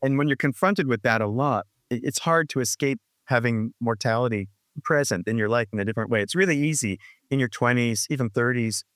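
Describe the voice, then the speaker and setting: A man in his sixties talks reflectively as an interview guest, circling back with frequent restarts and fillers. His voice sits at an ordinary middle pitch. The sound is dry and close, with no room around it. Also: brisk, fairly bright tone, no echo. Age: 60s. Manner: rambling, full of restarts and "you know, like". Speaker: guest musician.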